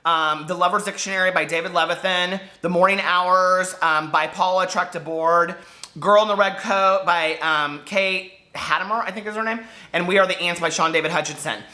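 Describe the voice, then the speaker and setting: A man chatting casually to camera as a book vlogger, gushing and animated, wandering off on tangents with lots of filler words. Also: sits high, moderate pace. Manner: gushing, digressive, peppered with fillers.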